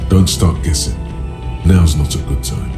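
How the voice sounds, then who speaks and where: An extremely deep voice works as a game's inner narrator, brooding and sardonic. It speaks in measured, literary phrases with dry wit, over prominent music. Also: male voice, steady tone. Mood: musing. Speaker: game narrator. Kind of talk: scripted narration. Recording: music behind the voice.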